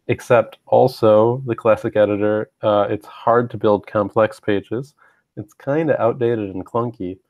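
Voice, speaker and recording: A man's voice: fairly low pitch, darkish tone, dry recording, dull audio. Stuffy man presenting a live webinar. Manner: halting, many ums and uhs, clears throat often, self-deprecating. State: stuffy, getting over a cold. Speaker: university web team presenter. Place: his own room.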